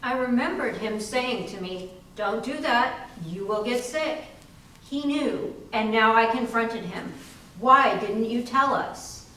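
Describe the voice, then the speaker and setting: A woman's voice: fairly high pitch, slow, sharp tone. A woman playing a plucky, defiant young woman in a dramatic readers theater monologue. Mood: confronting. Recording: echoey hall, far mic, faint noise.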